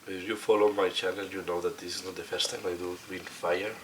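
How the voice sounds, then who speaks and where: A man with a non-native accent in English, hoarse from a cold, giving halting hands-on instruction as a tutorial host. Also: deep voice, little pitch movement, a bit bright. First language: not English. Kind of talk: instructional commentary while demonstrating. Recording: clearly noisy recording.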